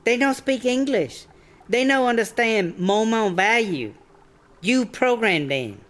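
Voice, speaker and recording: A woman's voice: on the high side, lively intonation, takes it slowly. A woman playing a breezy, bossy startup boss in a comedy audio drama, speaking clipped broken English. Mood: brisk, bossy. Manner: clipped broken English, dropped verbs and articles.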